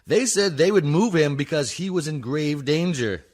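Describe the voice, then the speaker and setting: An actor playing an insistent courtroom lawyer in a radio comedy, pressing and emphatic. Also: male voice, fairly high pitch, expressive, normal speed, a little mellow.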